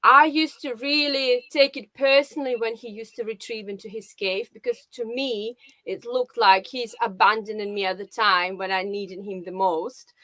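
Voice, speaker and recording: Bright-voiced Russian woman on a call. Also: on the high side, steady tone, normal speed, few pauses, dry recording, rough recording. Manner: hesitant, with many fillers and restarts. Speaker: guest. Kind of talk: casual conversation on a live video call. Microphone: video call.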